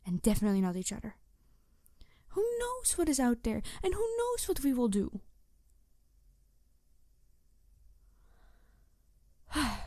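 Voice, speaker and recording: High-voiced snarky female supervillain, acted by a woman. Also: dry recording, studio quality. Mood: grave, resolute. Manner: snarky, intense, sighing, shifting from emphatic to hushed. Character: snarky, embittered, idealistic female supervillain confronting her nemesis. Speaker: voice actor. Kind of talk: ASMR audio roleplay monologue to the listener.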